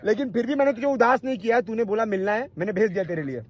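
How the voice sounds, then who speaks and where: Very high-pitched man arguing, muffled sound. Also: very fast. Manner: confrontational, repetitive, interrupting, swearing. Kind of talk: heated argument on a recorded phone call. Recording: muffled, low-fidelity phone call recording.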